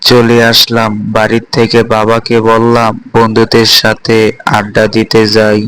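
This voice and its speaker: An easygoing young cartoon lover acted by a man. He talks in a casual, coaxing way, unhurried and closely recorded with no room sound. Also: on the low side, monotone, fairly bright tone, clear, resonant tone, no echo, low-quality recording.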